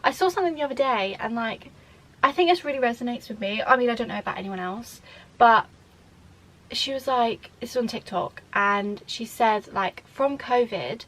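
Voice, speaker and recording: A woman's voice: on the high side, animated, normal speed, a bit bright, poor audio. A woman in her twenties from the UK, chatting casually to camera in a Q&A vlog, rambling with lots of fillers and restarts. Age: 20s. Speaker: vlogger.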